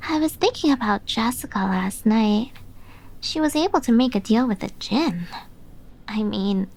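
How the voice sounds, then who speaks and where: An actress plays a chatty, curious young woman traveler talking to herself in an audio drama. Her voice is fairly high and animated, with vocal fry. The delivery is slow and musing. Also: exaggerated voice, low-quality recording.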